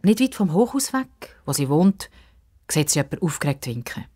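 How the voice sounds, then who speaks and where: A woman's voice: sits low, big pitch swings, moderate pace, warm tone, husky, soft background music. A woman narrating a children's story expressively from a script.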